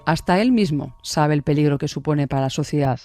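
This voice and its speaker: Higher-pitched man narrating true crime over music. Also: brisk, mellow.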